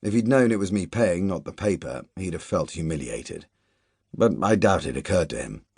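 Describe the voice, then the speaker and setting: A man reading a literary novel aloud as a first-person audiobook narrator, measured and even, dropping to quiet asides in the dialogue. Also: deep voice, creaky, husky, no room sound, clean studio sound.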